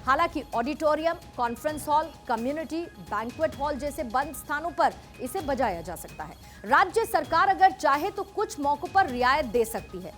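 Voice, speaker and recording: High-pitched woman presenting TV news. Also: expressive, moderate pace, few pauses, fairly bright tone, faint music behind. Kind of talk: scripted Hindi TV news explainer narration.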